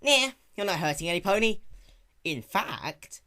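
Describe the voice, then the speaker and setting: Storybook role: brash young female flying pony played by a man, high lifted voice, animated, full of bravado. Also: measured pace, exaggerated voice. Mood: playful bravado. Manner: boastful, casual slangy talk.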